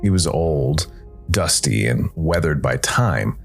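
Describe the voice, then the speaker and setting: Deep-voiced man narrating a story over music. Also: animated, slow, vocal fry. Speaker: podcast host and narrator.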